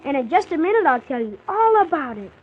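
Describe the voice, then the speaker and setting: A woman's voice: high-pitched, big pitch swings, quick pace. A woman playing an eager, wide-eyed young orphan boy, telling his adventure earnestly and full of wonder in a 1940s children's radio serial. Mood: excited, friendly. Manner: earnest, wonder-filled, childlike storytelling with exclamations. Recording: muffled 1940s radio transcription, faint hiss.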